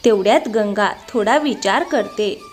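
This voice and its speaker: A woman's voice: medium pitch, unhurried. A woman giving scripted storytelling narration for a moral tale. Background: music.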